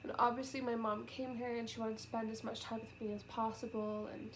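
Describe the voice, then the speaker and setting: A young woman speaks into her camera at home, stuffy and sniffly from a cold, and close to tears. Her voice sits a little high, and she talks softly, pausing often and trailing off. A faint hiss runs under the recording. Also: level tone, rough recording. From United Kingdom. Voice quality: sniffly, nasal. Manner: halting and soft-spoken, with many pauses and fillers. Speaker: vlogger. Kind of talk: emotional personal vlog.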